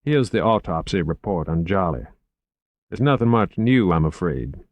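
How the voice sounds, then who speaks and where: A man voicing an urbane, unhurried investigator in an audiobook, genteel and measured with dry wit. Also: deep voice, big pitch swings, no echo, highs cut off. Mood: matter-of-fact. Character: urbane, unhurried, drily witty male investigator. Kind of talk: audiobook character acting.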